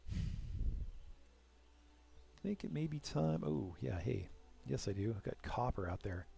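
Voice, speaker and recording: A man's voice: medium pitch, lively intonation, measured pace, gravelly, warm tone. A young man casually commenting while playing a strategy game, rambling with self-deprecating jokes and asides. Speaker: let's play commentator.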